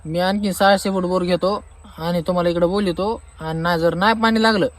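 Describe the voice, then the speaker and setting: Man playing a high-pitched, exasperated Marathi farmer. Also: steady tone, brisk, natural voice. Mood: indignant, mocking. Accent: Marathi, rural Maharashtra. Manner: exasperated, sarcastic, complaining. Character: aggrieved, sarcastic male farmer demanding refund. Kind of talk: staged comic phone-call argument.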